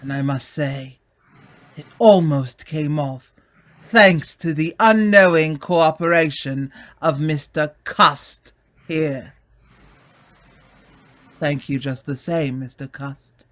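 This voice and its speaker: A man's voice: on the high side, takes it slowly, pauses often, fairly bright tone. A man acting a haughty, cunning heir and murderer in an old-time radio mystery, theatrical and condescending. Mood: smugly confessing.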